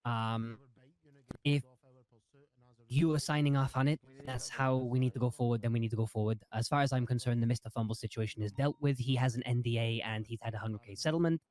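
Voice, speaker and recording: A man in a roleplay meeting, playing an ex-prosecutor who lays out legal advice in a measured, lecturing way with frequent restarts. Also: medium pitch, steady tone, darkish tone, low-quality recording. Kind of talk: in-character roleplay meeting talk.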